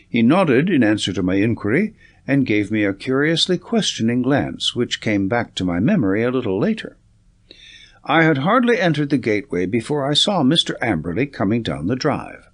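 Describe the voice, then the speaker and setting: A man reading a classic story as a scripted audiobook narration, in a measured, expressive storytelling manner. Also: medium pitch, husky.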